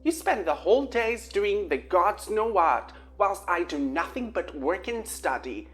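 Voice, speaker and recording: A woman voicing a dramatic, flustered teenage girl witch who talks in a high-strung, theatrical way. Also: very animated, unhurried, soft background music. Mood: exasperated.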